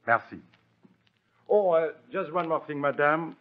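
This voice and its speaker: A man's voice: fairly high pitch, lively intonation, measured pace. A vain foreign detective in a vintage radio drama. His French accent carries ornate, broken English that rises and dips theatrically. The recording is dull and narrow. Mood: courteous, probing. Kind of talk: old radio drama acting. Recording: dull, band-limited old radio recording.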